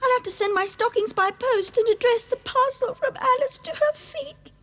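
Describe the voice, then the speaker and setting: A woman voicing a curious, polite little girl in a cartoon, with a proper, expressive and dramatic manner. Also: very high-pitched, fairly quick, a little hiss or hum. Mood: alarmed. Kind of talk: animated cartoon dub acting.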